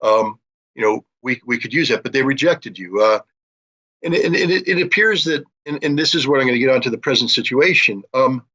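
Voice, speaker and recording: An older or middle-aged American man discussing geopolitics in a deliberate, explanatory way, as an interviewer with military experience. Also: mid-range voice, lively intonation, bright, forceful tone, breathy edge, highs cut off.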